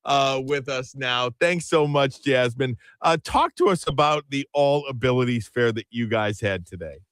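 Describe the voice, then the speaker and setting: A man's voice: medium pitch, moderate pace, fairly bright tone, no room sound. A man hosting an afternoon radio talk show, chatty and self-deprecating. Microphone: studio microphone.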